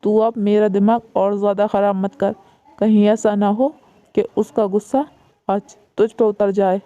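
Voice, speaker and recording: A woman's voice: mid-range voice, flat delivery, measured pace, warm tone, dry recording, close to an everyday voice. A woman voicing a stern, scornful mother-in-law who scolds and dismisses the family around her. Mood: scolding. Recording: dull lo-fi recording.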